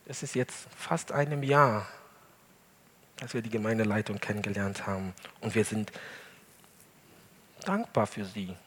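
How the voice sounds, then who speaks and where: A male pastor, not a native German speaker, preaching an earnest sermon in a church hall with deliberate emphasis. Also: fairly low pitch, fairly bright tone, husky. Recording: long hall reverb.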